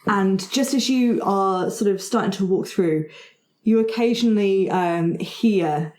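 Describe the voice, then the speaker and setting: A woman's voice: mid-range voice, a little slow, darkish tone. A woman narrating an improvised tabletop game as game master. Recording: roomy echo.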